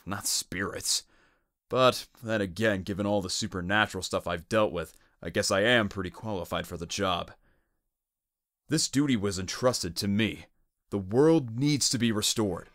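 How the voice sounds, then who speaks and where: Young male cartoon guardian creature played by a man: blunt, earnest, quick to exasperation, very animated delivery. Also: lowish voice, background noise, close to an everyday voice. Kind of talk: cartoon fan dub acting. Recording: music behind the voice.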